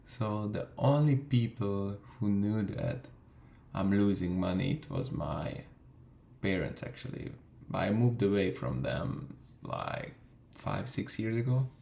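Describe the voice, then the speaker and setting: A man's voice: lowish voice, lively intonation, slow pace, gravelly, dark tone. A young man from Hungary tells his story in a casual, profane way, with lots of fillers. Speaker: vlogger. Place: room in London. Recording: echoey room.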